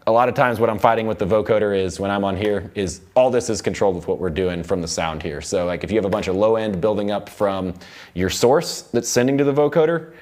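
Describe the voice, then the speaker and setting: A man's voice: fairly low pitch, quick pace, darkish tone. A young man giving a casual, informal tutorial as an audio production instructor in a recording studio. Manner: informal, loose explaining. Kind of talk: casual hands-on software tutorial. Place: recording studio control room. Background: music playback from the session.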